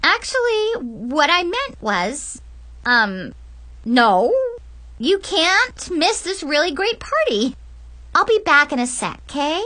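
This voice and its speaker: A woman voicing an excitable, awkward, eager-to-please teenage girl with a gushing, hesitant manner in a storybook narration. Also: sits high, slow pace, no echo, voice pushed up, full character voice. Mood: panicked, fake cheer.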